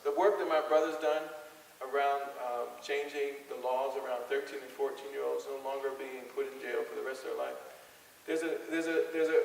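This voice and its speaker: A man's voice: mid-range voice, gravelly. Man speaking in an echoey hall. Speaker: guest speaker, psychologist answering audience questions. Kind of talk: public talk with audience questions. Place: large echoey hall at a school event. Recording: echoey hall from far off, noisy hiss.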